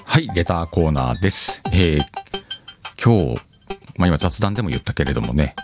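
A man's voice: low-pitched, animated, normal speed. A man hosting a casual show, rambling as he chats and reads listener letters, with music behind him. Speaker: host.

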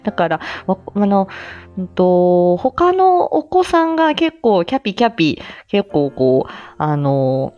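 Female live-stream host, low voice, halting and modest chatty delivery, dull lo-fi sound. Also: very animated. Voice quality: mature, low-set voice. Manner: chuckles often between phrases. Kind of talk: casual rambling solo chat. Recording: dull lo-fi audio, faint music behind.

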